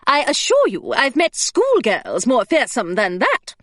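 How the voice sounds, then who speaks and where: A prim older governess, as a woman puts her on, brisk and very proper. Her voice is bright and lively on a dry close recording. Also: sits high, dry recording, full character voice.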